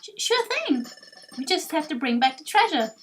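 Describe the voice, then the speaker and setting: A woman's voice: high voice, big pitch swings, moderate pace, a bit bright, a little hiss or hum, pitched above the performer's own voice. A woman voicing a timid, eager young creature in a video game, with a hesitant, stammering manner. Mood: anxious, eager.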